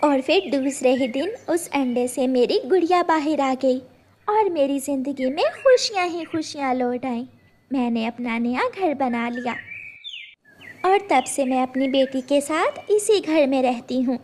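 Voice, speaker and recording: A woman's voice: high voice, expressive, a little slow, a little mellow, a little hiss or hum. A woman voicing a devoted, anxious mother sparrow telling her story earnestly for a children's cartoon. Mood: tender.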